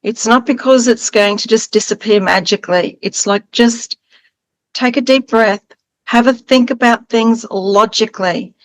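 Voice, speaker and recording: A woman's voice: fairly high pitch, unhurried, dry recording, low-quality recording. A woman speaking conversationally as an interview guest, giving advice in a reassuring way.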